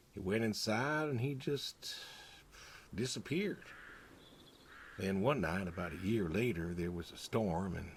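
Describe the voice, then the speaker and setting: A weary older farmer in a game drama, with a very low American voice. He is wistful and plainspoken, halting as he reflects, over faint background noise. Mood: somber. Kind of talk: understated naturalistic game acting.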